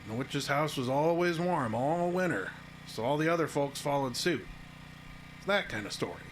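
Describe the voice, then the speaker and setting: A man's voice: on the high side, animated, moderate pace, close to an everyday voice. Actor as folksy small-town handyman, faint noise. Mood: folksy storytelling. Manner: folksy, colloquial, matter-of-fact. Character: plain-spoken, folksy small-town handyman, skeptical and reluctant. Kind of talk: audio drama acting.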